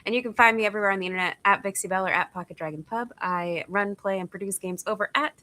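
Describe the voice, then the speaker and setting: Woman player, stuffy-nosed, bright and forceful tone, chatty with laughing asides; casual sign-off plugging her channels. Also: mid-range voice, fast. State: stuffy from a cold. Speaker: actual play player and producer.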